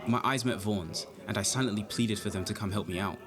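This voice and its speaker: A man's voice: fairly low pitch, a little fast, a crowd in the background, close to an everyday voice. An actor playing an anxious, earnest young amateur detective in an audio drama, flustered and precisely worded as he acts and narrates his story. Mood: wry narration. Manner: flustered, stammering, protesting, precise wording. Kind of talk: audio drama acting with first-person narration.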